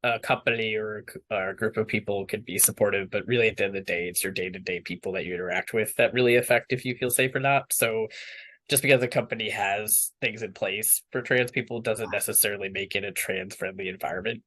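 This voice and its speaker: A man's voice: on the low side, steady tone, fast talker, sharp tone. A young man speaking candidly as an interview guest, rambling with many fillers and restarts. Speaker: interview guest, water professional.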